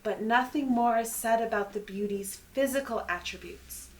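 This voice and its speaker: A woman's voice: on the high side, moderate pace, low-quality recording. A woman speaking as a podcast panelist, conversational and sometimes reading aloud.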